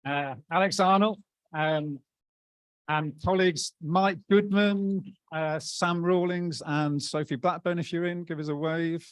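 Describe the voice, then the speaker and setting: A man, the outgoing president of an association, giving upbeat, joking closing thanks to a conference, halting often to find his words. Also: fairly high pitch, steady tone, smooth, no echo. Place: conference hall plenary.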